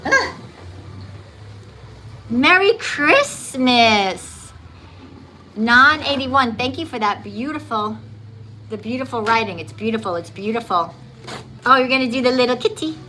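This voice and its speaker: A bright, chatty woman with a New Jersey accent and a slight lisp, talking casually while she cooks. Her voice sits a little high, and a faint hiss of running tap water hangs behind her in a home kitchen. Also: expressive, slow, long pauses, rough recording. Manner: chatty and upbeat, hums and sings along. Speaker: livestream host. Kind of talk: casual livestream chat while cooking and cleaning.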